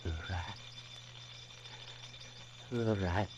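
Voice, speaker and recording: An actor playing a deranged, haunted asylum inmate in a theatrical old-time radio horror drama. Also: male voice, sits low, fairly even intonation. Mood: hushed, haunted. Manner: theatrical, halting, swinging from hushed dread to frenzy. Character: deranged, haunted man confined to an asylum, pleading innocence. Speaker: narrator and lead actor. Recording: noisy vintage radio recording.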